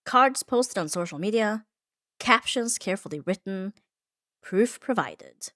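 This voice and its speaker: A woman's voice: mid-range voice, lively intonation, measured pace, bright, forceful tone, dry recording, studio quality. A woman delivering a scripted comfort ASMR monologue in an earnest, reflective manner, leaning on short emphatic phrases. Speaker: ASMR audio performer.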